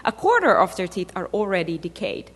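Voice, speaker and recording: A woman's voice: lowish voice, very animated, fairly quick. A woman from Egypt, a dentist giving a conference talk, speaking conversationally with fillers and playful asides. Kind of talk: conference presentation. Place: large conference hall. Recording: reverberant lecture hall.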